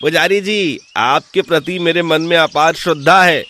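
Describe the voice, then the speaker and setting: A man playing a sly, boastful village swindler in an animated folk tale, with a theatrical, smooth-talking delivery. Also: a little high, moderate pace. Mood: falsely humble.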